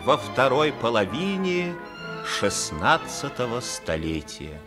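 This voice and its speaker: A man narrating a radio play as a storyteller, in a dramatic and measured manner. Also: medium pitch, big pitch swings, over music. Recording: vintage 1950s radio play recording.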